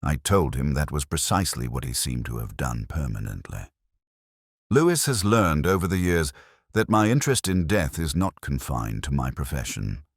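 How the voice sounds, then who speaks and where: A man playing a brooding, world-weary, literary police detective, narrating his story in a measured, solemn, wry manner. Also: rumbling low, sing-song, dry recording, clean studio sound, heavily acted. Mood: brooding, reflective. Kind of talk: scripted first-person detective story narration.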